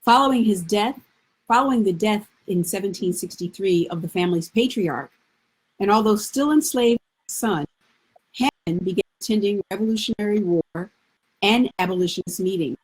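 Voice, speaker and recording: A woman presenting literary history in measured, scripted narration. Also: medium pitch, slightly rough, poor audio.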